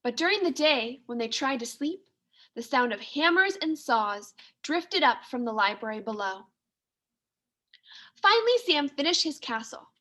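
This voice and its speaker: A woman teacher reading a children's story aloud in an expressive, clearly paced manner. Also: high-pitched, unhurried. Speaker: second grade teacher.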